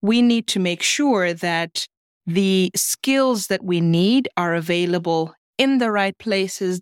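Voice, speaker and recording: A woman's voice: lowish voice, clean tone, dry recording, studio quality. A woman hosting a podcast, delivering scripted introductions and interview questions. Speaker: podcast host.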